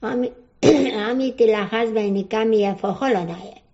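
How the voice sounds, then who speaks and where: An older woman from Croatia speaks slowly in broken English with a Croatian accent, and she often stops to search for a word. Her voice is mellow and low in brightness. The recording is dull and lo-fi. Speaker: interviewee. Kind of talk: oral history interview.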